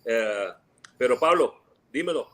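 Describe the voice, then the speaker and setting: A man moderating a panel, talking in an energetic, slangy, rambling way and dropping in Spanish phrases. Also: mid-range voice, normal speed, poor audio.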